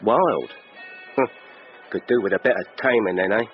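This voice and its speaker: A man with a London accent acting in a radio drama as a gruff, mocking working-class market butcher. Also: fairly low pitch, moderate pace, lo-fi sound, soft background music, lightly acted. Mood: dismissive. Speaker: actor.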